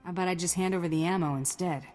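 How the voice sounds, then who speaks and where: An actress playing a cool, wry bounty hunter in a video game, with a deadpan, sardonic delivery. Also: female voice, fairly low pitch, very fast, soft background music, natural voice.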